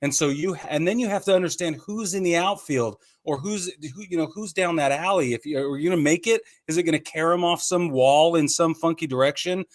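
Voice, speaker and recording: A man's voice: a little high, a little fast, few pauses. A man co-hosting a casual sports podcast, explaining his opinions point by point in conversational talk. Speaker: podcast co-host.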